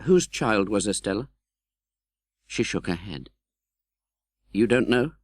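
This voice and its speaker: A British man playing an earnest, tender-hearted gentleman who recounts his own life in a dramatic, measured audiobook narration. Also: lowish voice, expressive, no room sound, clean studio sound. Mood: subdued. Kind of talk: dramatic literary audiobook narration in first person.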